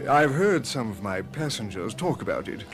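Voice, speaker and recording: Actor playing a lively, courteous ship's captain. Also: male voice, medium pitch, moderate pace, lightly acted. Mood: intriguing. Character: courteous ship's captain sharing intriguing rumours. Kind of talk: radio mystery drama acting. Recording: music behind a radio drama.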